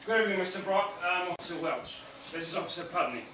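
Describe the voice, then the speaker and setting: An actor playing a brisk, official police officer in a comic stage play, recorded in an echoey theatre. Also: male voice, sits high, animated. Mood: businesslike. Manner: official, commanding. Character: brisk, businesslike male police officer taking a statement. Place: indoor stage of a small theatre. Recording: echoey theatre, distant mic, some noise.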